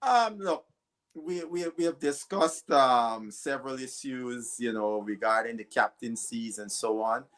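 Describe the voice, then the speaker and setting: A man's voice: medium pitch, unhurried, fairly bright tone. A man from Guyana with a Guyanese accent, a cricket pundit speaking his mind emphatically on a panel. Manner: emphatic, blunt when challenged. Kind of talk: panel discussion.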